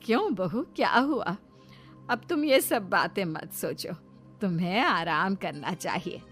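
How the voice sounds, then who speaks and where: A woman voicing a submissive older village mother-in-law in a cartoon story, admonishing gently and soothing. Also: on the low side, animated, measured pace, faint music behind. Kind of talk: Hindi cartoon story dialogue.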